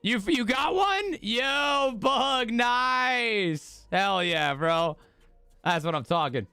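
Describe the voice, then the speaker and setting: A man hosts a casual livestream in a high, very animated voice that sounds strained from use. He talks to his viewers in hyped, sweary bro slang. The sound is close and dry. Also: extremely slow, vocal fry, clear, resonant tone, no room sound, faint music behind. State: voice strained, losing it. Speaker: live streamer hosting a watch party.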